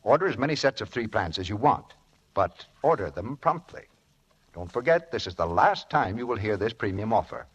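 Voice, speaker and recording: A male announcer from 1940s radio delivers a sales message. His voice is lowish and husky, with animated, emphatic phrasing and a deliberate salesman's push. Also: highs cut off. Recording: vintage radio broadcast.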